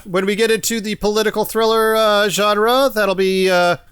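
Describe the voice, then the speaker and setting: High-voiced man in casual podcast banter. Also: fairly even intonation, moderate pace, a bit bright, clear, resonant tone, no room sound. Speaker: podcast co-host. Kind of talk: casual banter about films.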